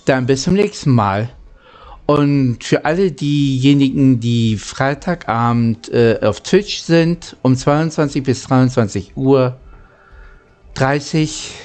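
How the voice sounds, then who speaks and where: A man's voice: mid-range voice, a little mellow, clean tone, faint music behind. A man reading aloud as a storyteller and host, stumbling now and then over his words. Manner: halting reading with occasional misreadings. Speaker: audiobook reader and channel host. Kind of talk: storybook narration.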